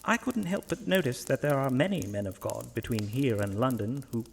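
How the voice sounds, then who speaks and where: A man's voice: mid-range voice, animated, fairly quick, gravelly, a little hiss or hum. A man playing a devout, hesitant priest in a horror audio drama, polite and formal with a slightly pompous turn of phrase. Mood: uneasy, doubtful.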